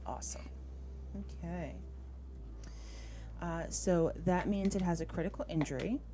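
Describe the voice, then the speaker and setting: Low-voiced woman running a tabletop game. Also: unhurried, warm tone, slight background noise. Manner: thinks aloud while checking rules and rolls. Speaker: game master. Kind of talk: running a tabletop roleplaying game, casual rules talk. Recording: voice over background music. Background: music.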